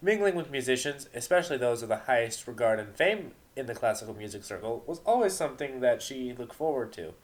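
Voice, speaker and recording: A man of medium pitch reads a story aloud as narrator. His delivery is dramatic and deliberate, putting weight on key words. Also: lively intonation.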